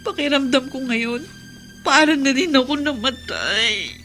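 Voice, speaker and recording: Emosyonal na dalagang anak, mataas at matinis. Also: female voice, high voice, expressive, measured pace, bright, forceful tone, a little hiss or hum, heavily acted. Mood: tearful, despairing. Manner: emotional, melodramatic delivery. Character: grieving, bitter young daughter, defiant and wounded. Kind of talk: Tagalog radio drama acting.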